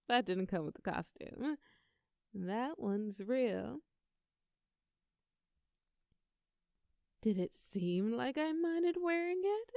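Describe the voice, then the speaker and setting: Teasing, creaky-voiced girlfriend, acted by a woman. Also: mid-range voice, darkish tone, dry recording, studio quality. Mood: playful, affectionate.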